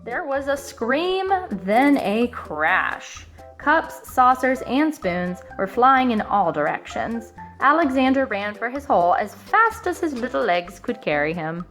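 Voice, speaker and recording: A woman in her thirties reading a children's story aloud, expressive, with dramatic pauses. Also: a little high, faint music behind. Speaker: storybook reader. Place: living room sofa for the introduction.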